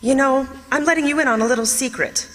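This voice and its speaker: A woman's voice: high voice, quick pace, fairly bright tone. An actress playing an anxious, curious lady companion in old-time radio mystery style, with a dramatic, ladylike manner. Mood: uneasy. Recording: long hall-like reverb on the voice.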